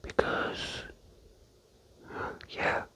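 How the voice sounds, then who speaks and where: A man's voice: extremely slow, sharp tone. A young man rambling playfully in a close-miked ASMR whisper, with many fillers. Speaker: ASMR creator.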